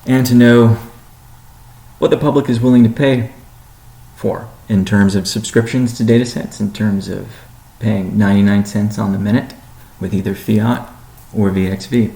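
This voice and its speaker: Deliberate CEO man with gravelly voice. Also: on the low side, steady tone, dark tone, reverberant, rough recording. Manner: measured, deliberate, businesslike. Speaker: company CEO answering community questions. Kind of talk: weekly conference call Q&A.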